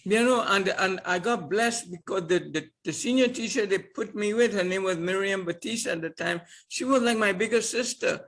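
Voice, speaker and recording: An older man with a lilt and a high-set voice. He tells his story in an animated way, often chuckling and doubling back on his words as a podcast guest. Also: normal speed, few pauses. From Trinidad and Tobago. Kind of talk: casual storytelling interview.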